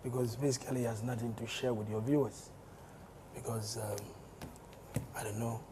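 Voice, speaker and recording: A hoarse man with a Ghanaian accent, a member of parliament speaking in a studio interview, measured and given to repeating words as he explains at length. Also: mid-range voice, steady tone, background noise. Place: television studio.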